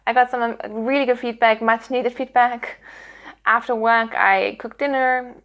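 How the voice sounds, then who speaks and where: A woman's voice: fairly high pitch, steady tone, normal speed, fairly bright tone. A woman hosting her own podcast, chatting casually to her audience in a rambly, earnest way. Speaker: podcast host. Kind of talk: casual chatty vlog to viewers.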